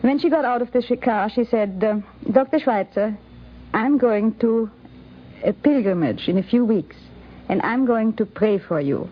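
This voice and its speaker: A woman's voice: on the high side, moderate pace. Woman reminiscing on dull vintage radio. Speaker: interviewed photographer recounting memories. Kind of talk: anecdotal reminiscence. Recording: dull 1950s radio recording.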